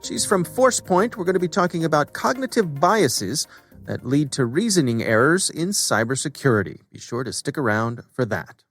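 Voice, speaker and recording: A man's voice: fairly high pitch, big pitch swings, moderate pace, fairly bright tone, no echo, soft background music. An American man working as a podcast host, moving between scripted introductions and casual chat with a co-host.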